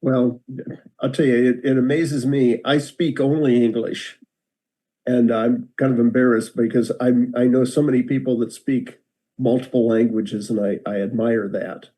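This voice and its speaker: Older American man, relaxed storyteller. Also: mid-range voice, normal speed. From United States. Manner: relaxed, rambling, self-deprecating with many restarts. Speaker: guest speaker. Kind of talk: storytelling talk over video call. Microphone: video call.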